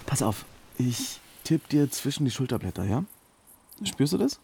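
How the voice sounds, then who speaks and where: An actor playing a gentle, hesitant young husband and resistance radio operator in a naturalistic radio drama, speaking haltingly. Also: male voice, medium pitch, big pitch swings, vocal fry, breathy edge, full character voice. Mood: playful, focused. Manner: halting, stammering.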